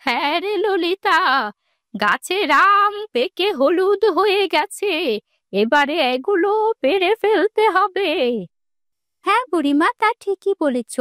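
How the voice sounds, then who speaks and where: A woman's voice: very high-pitched, a bit bright, no echo, studio quality, heavily acted. A woman voicing kindly village womenfolk in a fairy-tale cartoon. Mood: practical. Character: kindly old village granny and her young girl companion, cartoon women. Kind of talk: cartoon voice acting. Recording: music behind the voice.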